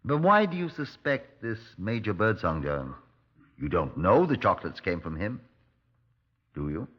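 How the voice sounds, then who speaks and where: A man's voice: fairly low pitch, sing-song, unhurried. An actor playing a dry, skeptical Scotland Yard superintendent in 1950s radio drama style, wry and understated as he questions and narrates. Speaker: detective and narrator. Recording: dull old radio recording.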